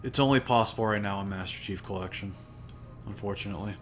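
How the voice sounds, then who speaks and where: A man with a deep, low voice, chatting casually while modding on a live stream, talking to himself and sounding tired and flat. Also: quick pace. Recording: music playing behind.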